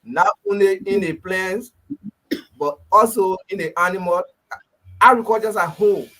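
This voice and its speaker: A man from Liberia, a guest on a radio talk show, speaking earnestly in short, measured phrases. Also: high voice, bright, forceful tone, rough recording.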